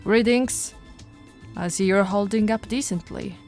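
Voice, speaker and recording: A woman's voice: medium pitch, measured pace, darkish tone, soft background music, close to an everyday voice. A woman voicing a flirty but firm policewoman in an ASMR roleplay, with a teasing, coaxing, authoritative manner. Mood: calm, professional. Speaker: voice actor in roleplay audio.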